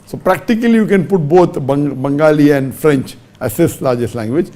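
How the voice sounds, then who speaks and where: A man's voice: fairly high pitch, normal speed, dark tone. A man answering a question in a lecture-style talk. He reels off figures and rankings methodically and punches key words with sudden upward jumps. English is not his mother tongue. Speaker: religious speaker answering a question.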